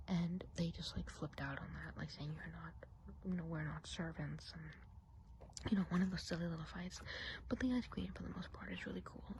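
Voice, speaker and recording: A woman's voice: medium pitch, a little mellow, slight background noise, low-quality recording. A woman whispering an ASMR roleplay as a friendly woodland witch, chatting in a rambling, confiding, gossipy way. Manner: whispered, rambling, confiding, with casual filler-laden asides.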